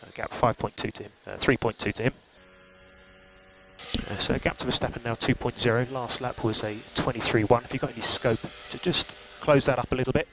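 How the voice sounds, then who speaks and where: A man working as a race engineer, calmly reading out gaps and lap times over team radio. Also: medium pitch, fairly quick. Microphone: headset radio. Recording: noisy team radio line.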